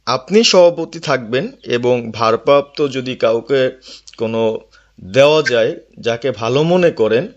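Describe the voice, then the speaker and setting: A man's voice: medium pitch, expressive, a little slow. A man reports to his leader on a phone call, speaking deferentially and a little hesitantly. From Bangladesh. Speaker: local party activist. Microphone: telephone.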